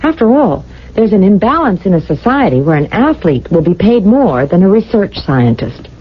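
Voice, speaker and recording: An actress playing a tender, wistful wife from a regimented future society in a radio drama, speaking earnestly in short, halting phrases. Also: female voice, mid-range voice, sing-song, breathy edge. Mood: wistful, playful. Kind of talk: 1980s radio drama acting. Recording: dull vintage radio broadcast with music.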